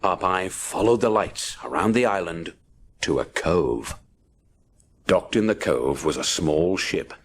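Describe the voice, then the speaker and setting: A man's voice: low-pitched, expressive, measured pace, slight background noise. A man narrating a children's adventure story dramatically, punching out the action words. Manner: punchy, theatrical sound-effect words.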